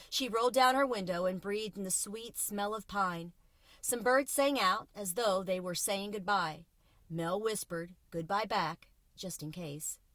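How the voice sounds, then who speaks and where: A woman reading a children's story aloud as host, with expressive, emphatic delivery. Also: on the low side, no room sound. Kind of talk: children's audiobook read-aloud.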